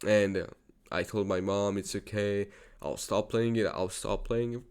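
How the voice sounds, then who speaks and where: Earnest young man, low voice. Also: fairly even intonation, unhurried, a little mellow, smooth. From Georgia. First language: Georgian. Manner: earnest, repetitive, frequent swearing. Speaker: vlogger. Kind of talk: personal confessional monologue.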